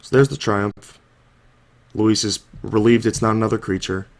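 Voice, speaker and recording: A man's voice: on the low side, fairly even intonation, moderate pace. A young man with a sore throat, casually talking through a card game match over the footage, thinking aloud with lots of "like" and "you know". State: sore throat, coming down with a cold. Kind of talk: casual video commentary.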